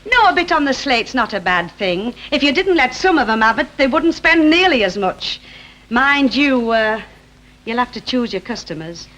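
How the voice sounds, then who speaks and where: An actress playing a seasoned, plain-spoken middle-aged corner shop owner with a Northern English accent in a 1960s TV drama. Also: female voice, a little high, big pitch swings, a bit bright. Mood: cautioning. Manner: brisk, advising, matter-of-fact. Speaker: actress in a TV soap. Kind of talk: 1960s British TV drama acting. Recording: faintly noisy old 1960 TV soundtrack.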